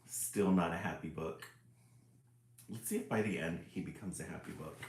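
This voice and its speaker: A man's voice: deep voice, normal speed, creaky, a little mellow, echoey room. A man hosting a casual read-aloud stream, chuckling and greeting viewers by name as he reads a children's book.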